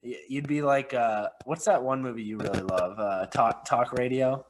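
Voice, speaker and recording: A man's voice: mid-range voice, moderate pace. A man runs a casual film podcast with a voice in the ordinary middle range. He keeps the talk loose and jokey and wanders off on rambling asides. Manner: joking, rambling banter. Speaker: podcast host. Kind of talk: casual chat.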